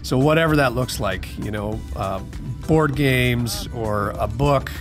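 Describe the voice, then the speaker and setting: A man in his thirties, a fishing charter captain, giving relaxed voice-over tips with lots of fillers and restarts. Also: mid-range voice, measured pace, music in the background. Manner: easygoing, rambling with many fillers and restarts.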